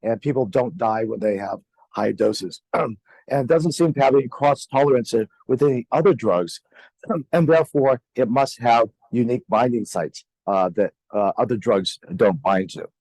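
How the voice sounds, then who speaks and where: An older man giving a conference lecture with a sore, scratchy throat, halting with many fillers and clearing his throat. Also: medium pitch, a little mellow, no echo. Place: lecture hall.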